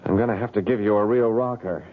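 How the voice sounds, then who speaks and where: A wry, protective young husband, played by a male actor in an old-time radio drama. He talks low and conversationally, stumbling as nerves get to him. The recording is thin, tinny and noisy. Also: fairly even intonation, lightly acted. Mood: hesitant, shaken. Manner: conversational, halting, stammering.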